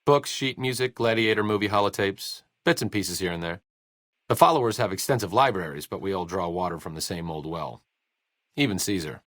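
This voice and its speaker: A bookish, self-deprecating video game doctor, speaks in a low, closely recorded voice. His delivery is sardonic and erudite, rising and falling freely as he makes his dry understatements. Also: animated, fairly quick, fairly bright tone, dry recording. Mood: dryly musing. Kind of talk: video game character dialogue.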